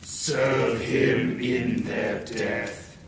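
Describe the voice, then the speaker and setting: A man's voice: mid-range voice, steady tone, extremely slow, vocal fry. Male actors playing a solemn cult brotherhood, chanting ritual responses in an audio drama. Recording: very echoey, distant, crowd of voices.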